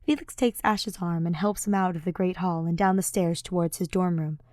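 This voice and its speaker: A woman's voice: on the low side, steady tone, normal speed, darkish tone, no room sound. A woman reading scripted story narration as an audiobook narrator.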